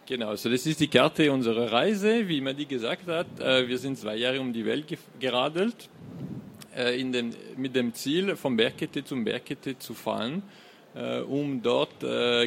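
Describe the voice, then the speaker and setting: A man's voice: medium pitch, a little slow, gravelly, slight background noise. A young man from France with a French accent, a non-native German speaker giving a hesitant live talk at a book fair. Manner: hesitant, many filler sounds. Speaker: guest author. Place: fair hall stage.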